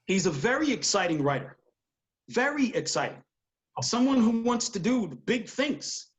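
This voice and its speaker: A man's voice: high-pitched, sing-song, moderate pace. An actor playing a wry, emphatic male TV studio executive in a screenplay table read. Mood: impressed.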